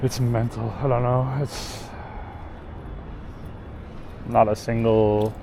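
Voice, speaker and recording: A man's voice: medium pitch, level tone, slow. A man hosting a casual walking vlog on an outdoor city street, speaking bluntly and with emphatic indignation. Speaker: travel vlogger, host. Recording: street traffic noise behind.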